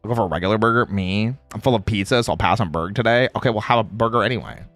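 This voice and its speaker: A man's voice: lowish voice, racing, fairly bright tone, no room sound, soft background music. A man in a playful, casual livestream chat, reading out viewer comments and replying in slangy speech. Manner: playful, reads chat aloud and replies.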